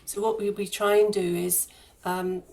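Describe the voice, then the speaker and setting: A woman's voice: medium pitch, flat delivery, a little slow, vocal fry, warm tone, slight background noise. A woman speaking as an interview guest, explaining things earnestly in a conversational way. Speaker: interview guest, charity fundraising head.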